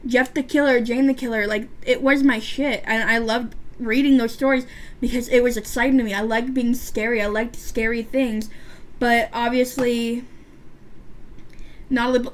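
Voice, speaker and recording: 20 yr old girl vlogging her makeup, lisp n a little stutter, kinda high voice, lots of restarts. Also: fairly even intonation, fairly quick. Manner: rambling, chatty, frequent restarts and fillers. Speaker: beauty vlogger. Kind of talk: casual chat while doing makeup.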